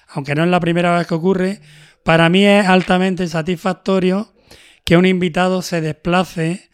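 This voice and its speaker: A man hosting a cultural programme, measured and deliberate, moving between scripted narration and interview talk. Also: high-pitched, smooth, no echo, clean studio sound. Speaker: host of a cultural radio programme.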